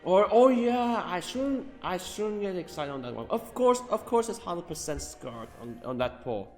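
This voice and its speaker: Man, game streamer, lively swinging pitch, casual halting commentary, repeats himself, reverberant room. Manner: repeats phrases, thinks aloud haltingly. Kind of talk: casual live gaming commentary, reacting to gacha pulls. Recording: roomy echo, faint game music behind.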